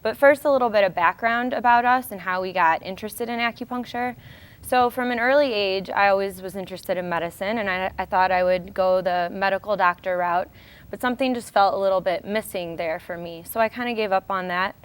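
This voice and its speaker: Clear-voiced American woman hosting. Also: mid-range voice, a little fast. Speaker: show host, acupuncturist. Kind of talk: informal educational presentation.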